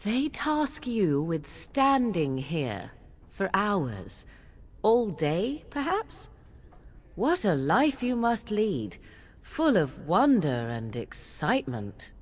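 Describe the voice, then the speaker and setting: Haughty fantasy game witch: very animated, unhurried, mocking, theatrical, long hall reverb. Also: medium pitch. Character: haughty, sharp-tongued witch, a scornful companion.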